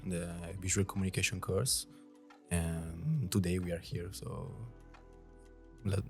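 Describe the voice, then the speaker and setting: A man in his early twenties, a design student, answers interview questions haltingly, searching for words. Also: low-pitched, gravelly, a little mellow, faint music behind. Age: 20s. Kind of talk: casual interview.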